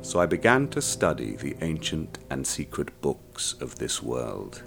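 An actor narrating as a wealthy, jaded male killer, in a brooding, measured way. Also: rumbling low, wildly swooping pitch, gravelly, music in the background, heavily acted. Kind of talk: narration in a radio drama.

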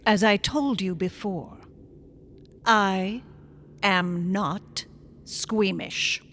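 A woman plays a hard-to-scare, sceptical customer in a horror radio play. Her voice is mid-pitched, and she speaks bluntly with no patience for nonsense. There is faint noise in the background. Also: fairly even intonation, drawn-out words, fairly bright tone. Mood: uneasy, firm. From Chicago, USA (the character). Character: tough, sceptical, unsqueamish woman customer demanding answers. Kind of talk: horror radio drama acting.

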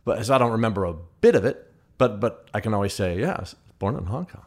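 A man's voice: on the low side, animated, quick pace. A man hosting an interview, asking questions and responding with enthusiastic affirmations. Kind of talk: conversational interview.

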